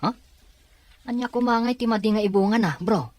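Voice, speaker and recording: A woman's voice: fairly high pitch, a little fast, a little mellow, no room sound, close to an everyday voice. Woman acting insistent Ilocano youngster, dry sound. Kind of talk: radio drama acting.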